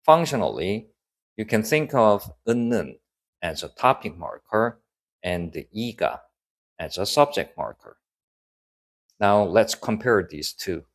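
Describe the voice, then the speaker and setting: Korean man teaching in English, low voice. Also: animated, unhurried, long pauses. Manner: clear explanatory delivery, switching into Korean example sentences. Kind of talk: scripted online lesson.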